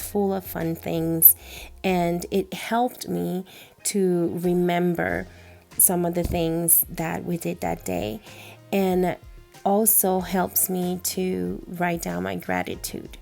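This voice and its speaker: A woman in her thirties from Puerto Rico, a native Spanish speaker with a Puerto Rican accent, gently and reflectively talking through her journal pages in a calm vlog voice-over. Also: lowish voice, gravelly. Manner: slow, gentle and reflective, with soft fillers. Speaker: journaling vlogger.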